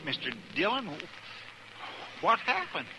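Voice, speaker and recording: Plain-spoken western deputy, animated pitch, deferential, vintage radio drama, clear background noise. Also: male voice, slow pace, heavily acted. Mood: awed, anxious. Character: earnest male deputy sidekick of a western marshal. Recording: noisy old 1950s radio recording.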